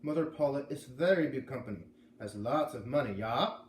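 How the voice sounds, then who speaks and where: A man's voice: medium pitch, expressive, moderate pace, lightly acted. A man voicing an angry, blustering dog trainer with a put-on German accent and broken English, in a read-aloud storybook. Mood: indignant, scheming. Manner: emphatic, blustering. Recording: echoey room, faint background noise.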